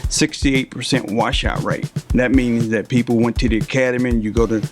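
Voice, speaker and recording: An older man speaks over faint music. His pitch is average for a man, and he explains things in a conversational interview style, often checking that the listener follows. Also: fairly even intonation, fairly quick. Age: 50s or older. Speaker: interview guest, veteran air traffic controller.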